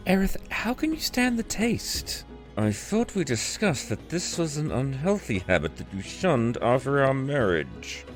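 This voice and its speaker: A man's voice: medium pitch, sing-song, unhurried, faint music behind. An actor playing a sardonic male tavern keeper of the afterlife who speaks in a mock-formal way.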